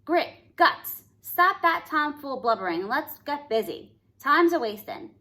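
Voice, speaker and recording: A woman's voice: sits high, sing-song, sharp tone, pitched above the performer's own voice, full character voice. A woman reading a storybook aloud and voicing a feisty old fairy godmother who talks in folksy ranch slang. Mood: brisk. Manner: bossy, brisk, drawling ranch slang.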